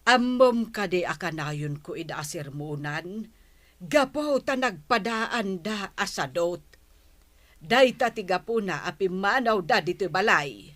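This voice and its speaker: Woman acting a sharp, fed-up middle-aged mother. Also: mid-range voice, expressive, measured pace. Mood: exasperated, scornful. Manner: blunt, exasperated. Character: fed-up, scornful mother washing her hands of her son. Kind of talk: Ilocano radio-style audio drama acting.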